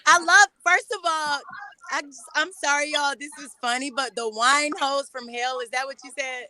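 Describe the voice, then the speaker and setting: A woman's voice: high-pitched, steady tone, moderate pace, pushed and bright, a little hiss or hum, rough recording. A young woman from Atlanta, earnest and gushing, thanking and chatting as a guest on a live stream. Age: young adult. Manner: earnest and gushing, laughs easily. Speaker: guest artist. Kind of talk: casual live-stream chat.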